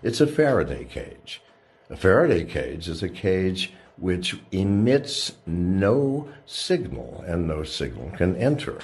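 A man's voice: low voice, very animated, slow, darkish tone, slight background noise. A man giving a dramatic monologue in a preachy, intense way, his voice jumping up in sudden rises. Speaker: lone speaker addressing listeners from a shielded room.

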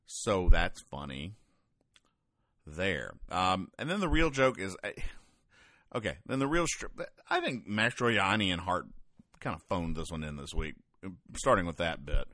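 A man with a fairly low voice delivers casual comedy commentary as a podcast host. His manner is deadpan and wry, and the sound is dry, with very little room echo. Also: a little slow. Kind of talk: casual comedic commentary, explaining comic strips.

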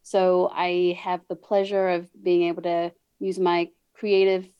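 New Zealand woman, low, dry call audio. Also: flat delivery, unhurried, clean tone, dry recording, muffled recording. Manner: chatty, laughs often, restarts and repeats words. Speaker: podcast guest. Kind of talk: casual interview chat. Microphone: video call.

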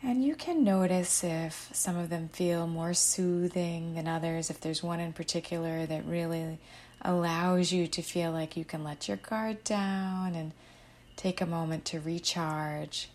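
Slow, low-voiced woman guiding a meditation. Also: steady tone. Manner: soothing, unhurried, gently instructive with soft phrase endings. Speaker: meditation guide. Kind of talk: guided meditation narration.

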